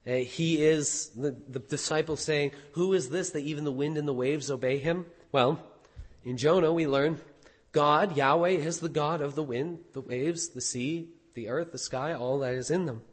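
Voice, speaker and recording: A hoarse man preaching a sermon as pastor, animated, with rhetorical questions. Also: on the high side, long reverb tail.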